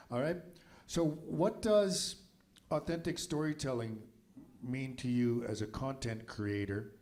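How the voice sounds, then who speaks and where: A man's voice: mid-range voice, darkish tone. A man from Nova Scotia moderating a film festival panel in a loose, chatty way with many fillers. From Nova Scotia, Canada.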